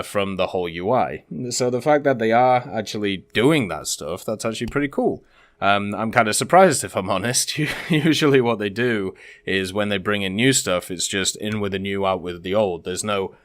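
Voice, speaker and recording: A man with a fairly low voice delivers casual gaming commentary. He shifts between reading text out and explaining it conversationally, with small asides along the way. The sound is close and dry, with very little room in it. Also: a bit bright, dry recording. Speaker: gaming content creator and host.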